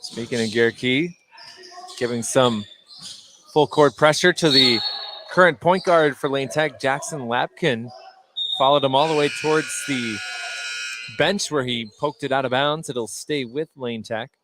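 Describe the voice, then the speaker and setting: A man's voice: mid-range voice, a little slow. A man giving live play-by-play radio commentary on a basketball game. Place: indoor gym at a basketball game. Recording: clear gym noise behind the voice. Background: basketball bounces and gym ambience.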